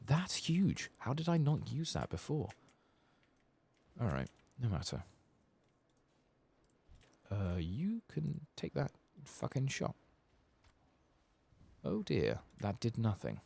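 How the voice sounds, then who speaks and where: A man giving soft-spoken ASMR commentary as he plays a video game, casual and sweary, muttering to himself. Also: mid-range voice, huge pitch swings, takes it slowly, gravelly, pauses often, breathy edge. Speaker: streamer playing a role-playing video game.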